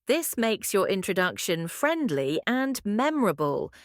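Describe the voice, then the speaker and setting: A woman co-hosting a podcast English lesson, speaking in a slow, clear, friendly teaching manner. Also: mid-range voice, big pitch swings, dry recording, clean studio sound. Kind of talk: scripted English lesson dialogue.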